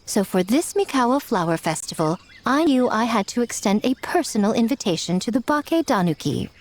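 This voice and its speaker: A woman's voice: a little high, very animated, a little fast. A woman voicing a sly, playful young kitsune in a video game, polite and sweetly teasing. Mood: apologetic. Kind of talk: animated video game voice acting.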